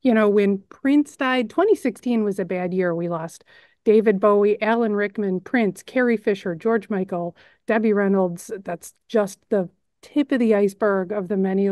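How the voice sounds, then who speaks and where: A woman's voice: medium pitch, a little mellow, no echo. Chicago-accented woman, dry close sound. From Chicago suburbs. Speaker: news editor and lead narrator. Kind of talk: casual on-camera chat.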